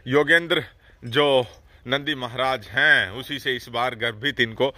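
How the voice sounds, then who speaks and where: A man hosting a farm video, explaining the animals outdoors in a steady, explanatory way. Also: medium pitch, a little slow, crowd noise. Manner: steady explanatory, frequent "haan haan" backchannels. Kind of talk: on-site explanatory commentary.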